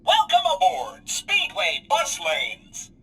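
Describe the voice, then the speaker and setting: A man voicing a cheerful robot bus driver from a video game, chirping slow announcements in a raspy, mechanical voice. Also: very high voice, wildly swooping pitch, pushed and bright, full character voice. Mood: cheerful, announcing. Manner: chirpy, slow, sing-song public announcements. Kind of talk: video game character voice lines.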